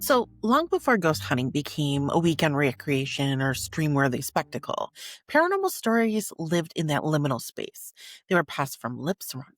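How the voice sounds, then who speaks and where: Low-pitched, lively woman podcast host. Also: normal speed, soft background music. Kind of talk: solo podcast narration, conversational storytelling.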